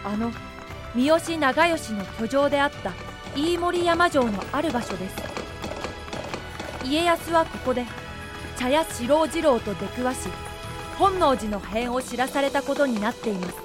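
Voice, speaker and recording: A woman's voice: high voice, expressive, unhurried, over music. A woman giving polite, explanatory scripted narration for a historical audio drama.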